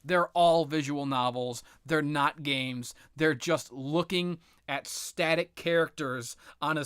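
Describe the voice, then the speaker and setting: A man giving casual, rambling, opinionated video game commentary with sarcastic, profane ranting and a halting, repetitive delivery. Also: fairly high pitch, pushed and bright, clean tone, dry recording, clean studio sound. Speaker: video game reviewer and host.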